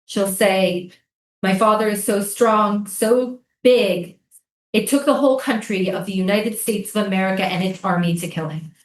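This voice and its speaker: A woman's voice: medium pitch, moderate pace, slight background noise, close to an everyday voice. A woman in a staged play reading, acting a haunted, devoted mother. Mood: tender, intense. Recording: echoey room.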